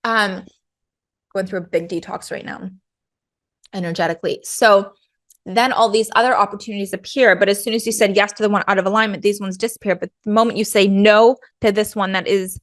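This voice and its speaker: A woman with a cold talks through a spiritual coaching podcast, her voice worn from hours of teaching. She rambles earnestly and casually, leaning on "like" again and again. Her pitch sits in a plain middle range. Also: fairly bright tone. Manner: chatty, many "like" fillers, earnest.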